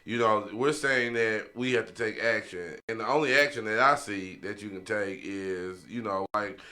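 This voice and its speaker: Guy hosting a podcast roundtable, real bright forceful voice, thinking out loud and asking the table stuff. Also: on the low side, normal speed. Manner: reflective, posing questions to the table. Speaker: podcast host. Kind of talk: casual round-table discussion.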